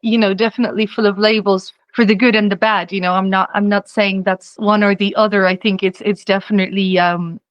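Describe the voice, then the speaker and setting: A woman's voice: mid-range voice, little pitch movement, a little fast, few pauses, no echo, highs cut off. Chatty young woman, dry close sound. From Czechia. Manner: chatty, rambling, frequent "you know", laughs easily. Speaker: singer-songwriter guest. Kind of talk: casual interview chat.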